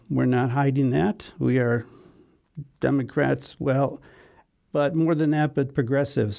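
A man's voice: a little high, creaky, mellow. A man hosting a casual political discussion over a video call, wry and given to ranting. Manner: wry, self-aware ranting. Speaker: radio show host.

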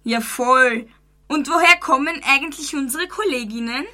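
A teenage schoolgirl hosts a scripted chat on a student radio show and keeps the questions coming. Her voice is high and bright. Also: normal speed. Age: teens. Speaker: student presenter.

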